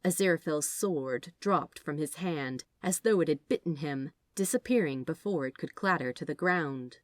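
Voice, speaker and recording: A woman's voice: low voice, moderate pace, no room sound. A woman reading fiction aloud as a narrator in a scripted storytelling style.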